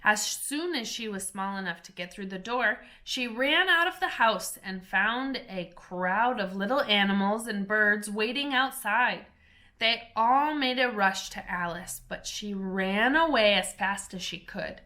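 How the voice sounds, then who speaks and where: A woman reading a children's storybook aloud in a slow, careful, expressive way. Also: medium pitch. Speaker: storybook reader.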